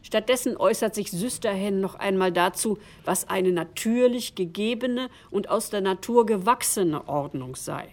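Sober actress as female co-narrator, mid-pitched. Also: normal speed, few pauses, darkish tone, natural voice. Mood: restrained, factual. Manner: matter-of-fact, curbing. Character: sober, restrained female co-narrator, keeping order. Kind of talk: radio documentary drama narration.

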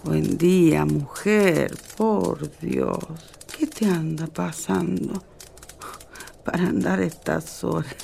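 Kindly old village godmother, gravelly, unhurried, northwestern Argentine accent, radio play, slightly noisy recording. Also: lowish voice, a little mellow, exaggerated voice. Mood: welcoming. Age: older. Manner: slow, folksy, rustic. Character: kindly elderly rural godmother, weary and plainspoken. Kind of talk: radio drama acting.

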